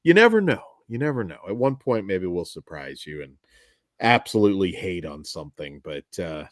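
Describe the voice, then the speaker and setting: Lively, low-voiced man co-hosting a film review. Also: normal speed, no room sound, studio quality. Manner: animated, self-deprecating, joking. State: voice going tired after long recording. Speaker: podcast co-host. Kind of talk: casual film review chat.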